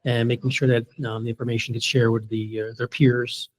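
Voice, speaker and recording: A man's voice: mid-range voice, level tone, brisk. A man chairing a school board meeting, calling on members by first name in an informal way. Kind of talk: casual meeting chat.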